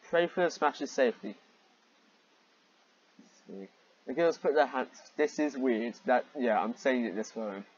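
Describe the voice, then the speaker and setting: Male reader, husky mid-pitched voice, sarcastic and exasperated, swears freely; dull home recording. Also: slow, pauses often. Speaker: reader and commentator of fan fiction. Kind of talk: reading fan fiction aloud with mocking asides.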